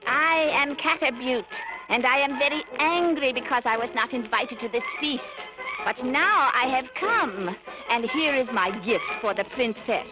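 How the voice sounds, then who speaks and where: An actress playing an angry, vengeful fairy in a declamatory, menacing way on a children's story record. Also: female voice, sits high, measured pace, rough recording. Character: vengeful, angry uninvited fairy woman cursing a princess. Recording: old 78rpm record with orchestral music.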